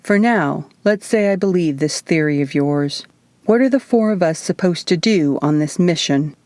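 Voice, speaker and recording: A woman's voice: lowish voice, moderate pace, a little mellow, dry recording, lightly acted. A stern, restrained man in charge from a science fiction audiobook, voiced by a woman. He speaks tersely and with command, in a very dry recording. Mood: skeptical.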